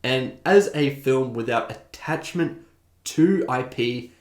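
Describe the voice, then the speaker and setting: A man's voice: mid-range voice, lively intonation, slow pace. A man hosting a video essay, giving opinionated, conversational commentary. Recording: roomy, echoing voice recording.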